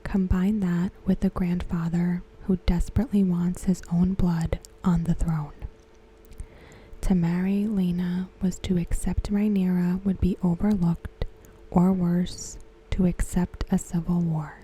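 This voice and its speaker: A woman giving ASMR commentary in a soft, breathy whisper, hushed and measured. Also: fairly low pitch, little pitch movement. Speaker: ASMR host reviewing a TV episode. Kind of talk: ASMR commentary, softly spoken.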